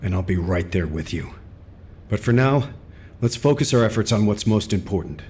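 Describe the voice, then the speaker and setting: Calm steady commander. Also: male voice, fairly low pitch, normal speed, slightly rough. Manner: calm and encouraging. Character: steady male commander, supportive. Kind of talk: game cutscene voice acting.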